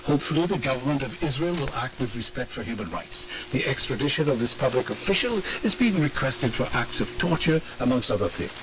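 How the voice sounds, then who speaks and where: A man reading a scripted international news bulletin in a formal, measured way, heard over shortwave radio. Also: medium pitch, animated, highs cut off, a crowd in the background. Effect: shortwave radio reception. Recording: noisy, band-limited shortwave radio broadcast. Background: radio static and noise.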